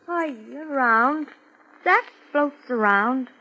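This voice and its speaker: An actress playing an intense, defensive young beauty contestant in a 1950s radio drama, with a theatrical, coy delivery. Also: female voice, sits high, lively intonation, slow pace, pushed and bright, heavily acted, rough recording. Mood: evasive.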